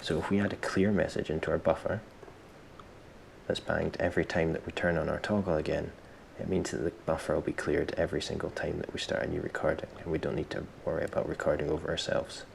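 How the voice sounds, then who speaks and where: A man with an extremely low voice and plenty of gravelly fry walks through a software tutorial. He is informal and self-deprecating, thinking aloud as he goes. A faint background noise sits under the recording. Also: little pitch movement, fast. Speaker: tutorial presenter.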